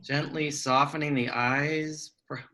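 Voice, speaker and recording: A man leading a guided meditation, giving gentle, measured instructions with long pauses between phrases. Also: on the high side, steady tone.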